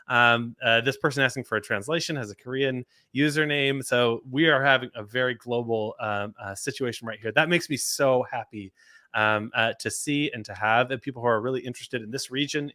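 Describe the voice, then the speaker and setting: Bright, dry-voiced livestream host. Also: male voice, medium pitch, no echo. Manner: energetic, talkative, many fillers and repeats. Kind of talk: livestream interview hosting.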